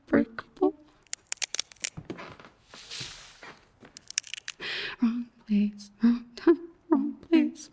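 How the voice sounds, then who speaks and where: A woman playing a haunting, accusing female voice in an ASMR horror roleplay, chanting repetitively in breathy whispers and tense murmurs. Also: sits high, mellow, a little hiss or hum, pitched above the performer's own voice. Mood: ominous chanting. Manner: breathy whispering that rises into frantic repeated chanting.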